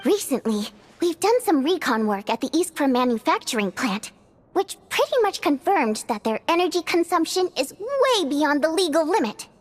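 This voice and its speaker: High, lilting bubbly young schemer. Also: female voice, moderate pace. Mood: confiding, scheming. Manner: expressive, chirpy, coaxing. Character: bubbly young woman, theater singer and cunning schemer. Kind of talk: video game voice acting. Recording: music under the voice.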